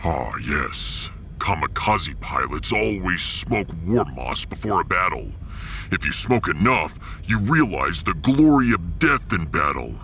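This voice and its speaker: An actor playing a solemn, fierce warrior from a harsh moon, with a gravelly voice and grave, proud, formal speech in a science fiction audio drama. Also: male voice, sits low, big pitch swings, measured pace, bright, forceful tone, a little hiss or hum, full character voice.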